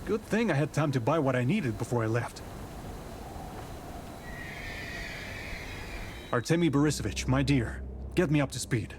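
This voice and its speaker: An actor playing a composed, authoritative nineteenth-century detective with a polite, formal, measured way of talking in a visual novel. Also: male voice, medium pitch, lively intonation, background noise, lightly acted. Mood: brisk, courteous. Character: composed, courteous, authoritative 19th-century male detective from the capital. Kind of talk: scripted visual novel voice acting.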